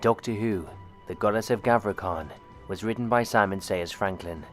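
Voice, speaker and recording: A man's voice: fairly low pitch, normal speed. A man reading scripted end credits for an audio drama as its announcer. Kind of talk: scripted narration. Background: music.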